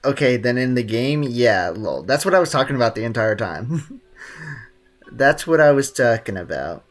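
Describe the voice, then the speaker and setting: A man's voice: medium pitch, animated, moderate pace. A man streaming a video game, casually rambling, joking and chatting with viewers. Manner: rambling, joking, talks to chat. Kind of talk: casual gameplay commentary.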